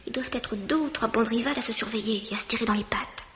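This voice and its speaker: High-voiced, inquisitive young sleuth played by a woman. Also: fast talker, fairly bright tone, slight background noise, lightly acted. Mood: curious, brisk. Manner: eager, probing, polite. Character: inquisitive, persistent young female amateur sleuth. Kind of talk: 1950s French radio mystery acting. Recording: dull vintage radio recording.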